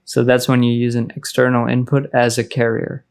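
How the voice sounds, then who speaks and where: A man narrating a casual software tutorial as a music production tutor, informal and explanatory in manner. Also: medium pitch, completely flat delivery, moderate pace, gravelly, smooth.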